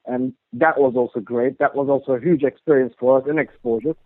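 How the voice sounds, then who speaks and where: A man's voice: medium pitch, fairly even intonation, brisk, no room sound. A man, a guest guitarist chatting in an interview, rambling with lots of "you know" and "like". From Turkey. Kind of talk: casual interview chat. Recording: dry tinny phone line.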